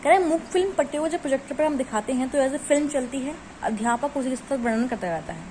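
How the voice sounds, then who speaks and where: A woman's voice: high-pitched, expressive, fast, darkish tone, a little hiss or hum, poor audio. A woman teacher explaining a lesson, reading out textbook phrases and then rephrasing them in casual Hindi mixed with English words. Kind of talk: explaining from study notes.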